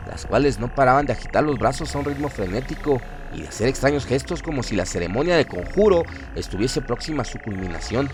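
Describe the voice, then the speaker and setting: A man narrating a horror audio drama in a solemn, literary, deliberate storytelling manner. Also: medium pitch, background noise. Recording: loud music bed behind voice.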